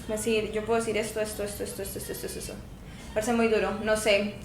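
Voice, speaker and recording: A woman's voice: mid-range voice, level tone, fast talker. Woman, 20s, Colombian Spanish accent, chatty storyteller, laughs often, echoey room, faint noise. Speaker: podcast co-host. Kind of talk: casual chat.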